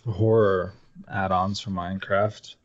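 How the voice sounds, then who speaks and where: A man's voice: deep voice, level tone, slow pace. A man co-hosting a podcast in a casual chat, talking informally and swearing freely. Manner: casual, profane. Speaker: podcast co-host. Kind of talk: casual chat about games. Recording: dull lo-fi audio.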